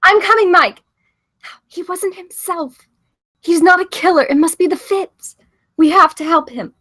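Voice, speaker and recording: A woman's voice: extremely high pitch, unhurried, fairly bright tone. An actress at a screenplay table read playing Jess, an anxious, devoted woman who speaks in an earnest, pleading, placating way. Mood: soothing, worried.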